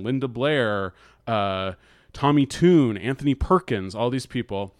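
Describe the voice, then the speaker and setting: A man with an American accent talking casually as a podcast co-host, halting and often restarting his sentences as he recounts things. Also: fairly low pitch, clear, resonant tone, no room sound, clean studio sound. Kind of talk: casual conversational recounting of an article.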